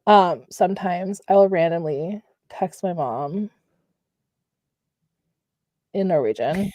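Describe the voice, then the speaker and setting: A woman's voice: lowish voice, slow, dark tone, no echo. A young woman hosting a casual chat with a friend, talking in a chatty, easygoing way and laughing often. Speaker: podcast host.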